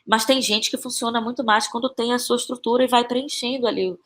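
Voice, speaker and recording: A woman's voice: a little high, steady tone. A woman with a Brazilian Portuguese accent speaking as an interview guest about her creative work, casually and reflectively, often searching for words and repeating phrases. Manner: halting, searching for words, repeats phrases, frequent tag questions. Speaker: playwright and actress, podcast guest.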